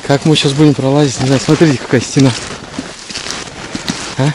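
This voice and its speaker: A man's voice: medium pitch, little pitch movement, a little fast, background noise. A man in his forties narrating a casual hiking vlog as he walks through a snowy pine forest, filmed on an action camera he holds at arm's length. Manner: chatty, breathless while trudging through snow. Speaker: vlogger. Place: snowy pine forest outdoors in deep frost. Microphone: action camera held at arm's length.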